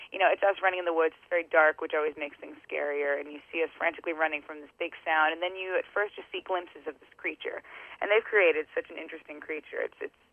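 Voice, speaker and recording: A raspy-voiced woman, an actress and singer, chatting casually in an interview, rambling and restarting her sentences. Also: sits low, no echo. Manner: rambling, self-interrupting, laughs often. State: voice strained from screaming. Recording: tinny phone-like line.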